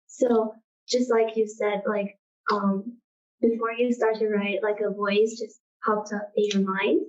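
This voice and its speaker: A woman's voice: mid-range voice, flat delivery, unhurried. Distant, muffled young woman asking a question. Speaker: audience questioner. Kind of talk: casual audience question. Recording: distant mic, dull lo-fi sound.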